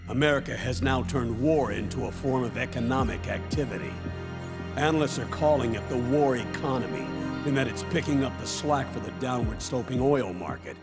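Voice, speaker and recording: An earnest, brainy ally in a video game briefing. His mid-range voice has a creaky edge. He lays things out in measured, serious sentences over clear background music. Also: mid-range voice, animated. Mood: earnest, grave. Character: earnest, brainy adult male tech ally. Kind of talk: dramatic video game cutscene exposition. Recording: music behind the voice.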